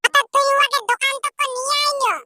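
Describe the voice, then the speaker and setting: Very high squeaky pitched-up voice, rowdy young village lad, Purulia accent, slangy and grumbling, loud music behind. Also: little pitch movement, fast talker. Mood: brash, bantering. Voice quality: cartoonishly squeaky, pitch-shifted voice. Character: rowdy young village lads squabbling and teasing, cartoon. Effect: pitched-up voice filter. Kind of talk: comedy cartoon dialogue.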